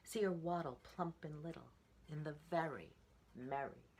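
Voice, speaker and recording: A woman in her sixties reading a picture book aloud to children in an expressive, sing-song rhythm. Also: low-pitched, moderate pace, slight background noise. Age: 60s. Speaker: storytime reader.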